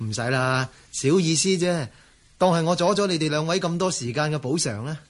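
An actor playing a curious, courteous wandering gentleman swordsman in a radio drama, theatrical in delivery. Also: a little high, fairly quick, close to an everyday voice. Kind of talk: Cantonese radio drama acting.

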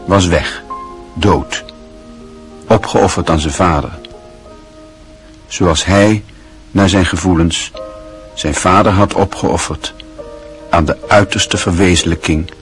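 Deep, grave male radio narrator. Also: soft background music. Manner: measured, grave, reflective. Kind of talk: scripted literary radio narration.

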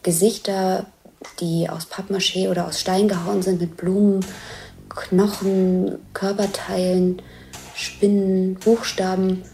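An actress playing an observer who describes actions and surroundings in present-tense radio drama narration. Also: female voice, medium pitch, little pitch movement, slow pace, vocal fry, warm tone, slight background noise. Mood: intrigued.

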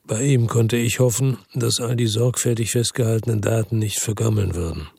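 An actor playing a world-weary, bitter crime investigator who narrates and talks tersely in a radio drama, with a gravelly, husky, deadpan voice. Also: male voice, fairly low pitch, moderate pace, dry recording, clean studio sound. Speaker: first-person narrator and lead character in a radio drama.

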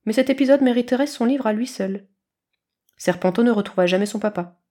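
Female podfic narrator, medium-pitched voice, expressive scripted storytelling. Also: fairly quick.

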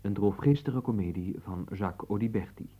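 Dark-toned man announcing a radio play. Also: lowish voice, moderate pace, a little hiss or hum. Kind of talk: formal title announcement.

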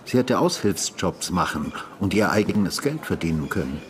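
Very deep-voiced, brooding male detective. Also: big pitch swings. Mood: probing, insistent. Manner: probing, measured, matter-of-fact. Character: brooding retired male homicide detective, insistent questioner and narrator. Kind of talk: radio drama dialogue and narration. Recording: music behind the voice.

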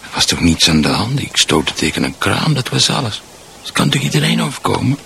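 An actor playing a bewildered, meekly polite husband losing his grip in a radio drama, muttering haltingly and repeating himself. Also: on the low side, very animated, creaky, bright, forceful tone, a little hiss or hum, full character voice. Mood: muttering, puzzled.

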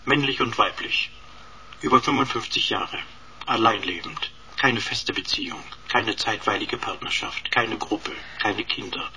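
An actor in a radio drama playing a cheeky computer sidekick, speaking in a pompous, wordy, mock-deferential manner. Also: male voice, on the low side, measured pace, pushed and bright, a little hiss or hum, low-quality recording. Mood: smug, pompous.

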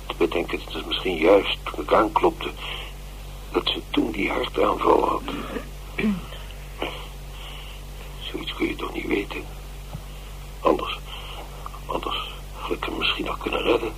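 An actor playing a polite, old-fashioned elderly gentleman in a radio drama, gentle, formal and regretful. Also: sits low, normal speed, pauses often, slight background noise, poor audio. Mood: grieving.

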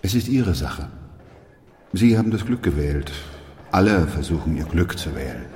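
An actor playing a weary, sober doctor and narrator in a German radio play, speaking in a measured, restrained way. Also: male voice, sits low, expressive, darkish tone. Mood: quiet, resigned. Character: weary, sober male doctor who narrates a plague chronicle.

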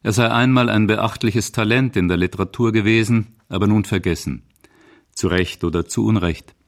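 A man reading scripted narration for a radio documentary as its author and narrator. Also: low-pitched.

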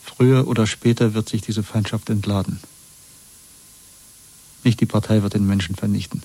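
A man playing a broken but stubbornly defiant prisoner in a radio drama, speaking tersely, with weary, quiet insistence. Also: on the low side, steady tone, normal speed. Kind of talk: German radio drama acting.

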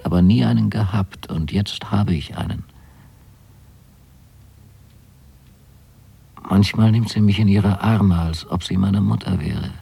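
Radio drama son,: deep, dark, mellow delivery, fastidious and naive, precise literary monologue. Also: male voice, vocal fry, breathy edge, no room sound, exaggerated voice. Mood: intimate, reflective. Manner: measured, precise, deliberate storytelling. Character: young man writing letters to his mother, wryly fastidious and naive.

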